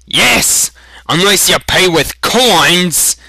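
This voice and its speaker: A man voicing a surly, quick-tempered village butcher in a storybook reading, sneering with exaggerated patience or shouting. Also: high-pitched, expressive, gravelly, no echo, voice pushed up, heavily acted. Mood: angry.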